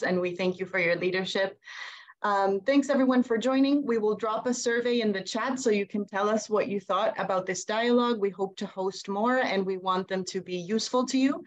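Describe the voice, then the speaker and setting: A woman's voice: mid-range voice, steady tone, normal speed. A young woman giving a host's closing remarks on a video call. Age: young adult. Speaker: host.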